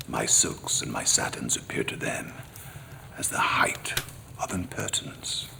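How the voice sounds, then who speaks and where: Very deep, gravelly gentleman memoirist. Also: sing-song, unhurried, in a whisper, full character voice. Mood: wry, rueful. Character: worldly, wry old-world gentleman recounting his memoirs. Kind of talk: dramatic first-person memoir narration.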